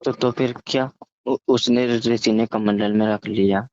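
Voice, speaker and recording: A man casually telling a story over the phone, halting and often stammering, repeating syllables and words. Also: on the low side, steady tone, a little mellow. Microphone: phone call. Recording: muffled, echoey phone call recording.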